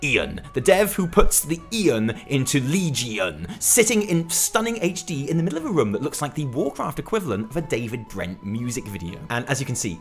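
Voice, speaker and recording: A British man hosting a gaming news show with scripted comic commentary in a theatrical, sarcastic manner. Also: medium pitch. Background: background music.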